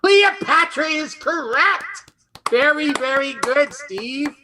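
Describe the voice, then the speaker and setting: A man hosting a casual game show, chatty and halting as he rephrases his explanations. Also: very high voice, background noise.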